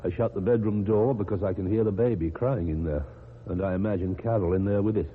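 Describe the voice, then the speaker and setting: An actor playing an anguished, haunted man in a radio drama, with a halting, faltering delivery. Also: fairly low pitch, breathy edge. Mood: weary, haunted. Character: anguished, haunted man unravelling in grief and dread. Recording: muffled, tinny old radio recording.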